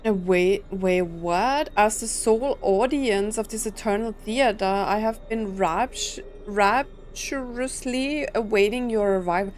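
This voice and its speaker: A woman's voice: mid-range voice, measured pace, hardly any pauses, darkish tone, slight background noise. A woman streaming a video game with casual playthrough commentary, sometimes reading on-screen lines aloud.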